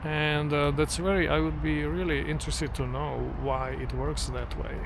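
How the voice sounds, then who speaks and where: Middle-aged man, English learned as an adult; slow, thoughtful vlog delivery, slightly raised pitch, recorded outside with clear street traffic. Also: little pitch movement. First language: not English. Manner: unhurried, reflective, with many restarts and fillers. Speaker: vlogger. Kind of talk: casual walking vlog monologue. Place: outdoors near trees and traffic. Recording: outdoor traffic noise. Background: street traffic noise.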